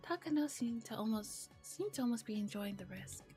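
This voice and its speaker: Woman, gentle ASMR read-aloud, slightly high voice, whispery, casual asides, faint background music. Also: lively intonation, fairly quick. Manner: soft-spoken, often dropping into whispers. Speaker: streamer reading a visual novel aloud.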